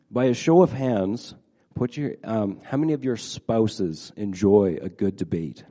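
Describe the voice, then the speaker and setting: A middle-aged man preaches to his congregation in a relaxed, conversational way, his voice slightly nasal from a head cold. His tone is mellow and a bit low. He stumbles and repeats words, filling gaps with plenty of ums. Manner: halting, repeats words, many fillers. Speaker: pastor. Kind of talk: casual sermon to a congregation.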